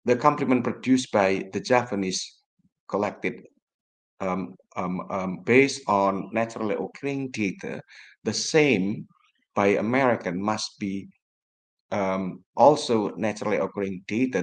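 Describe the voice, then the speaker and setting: A man from Java, a native Javanese speaker with an Indonesian accent, giving an academic lecture in a formal, deliberate way and often addressing his audience directly. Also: on the low side, rough recording. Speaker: guest lecturer, academic researcher. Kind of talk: academic lecture on research methods.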